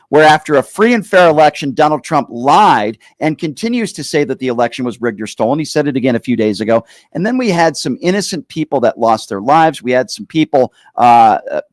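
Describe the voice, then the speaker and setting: Animated American man hosting a heated political show. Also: mid-range voice, dry recording. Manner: insistent, interrupting, repeats pointed questions; emphatic, drawn-out stress on key phrases. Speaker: talk show host. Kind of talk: heated political call-in debate.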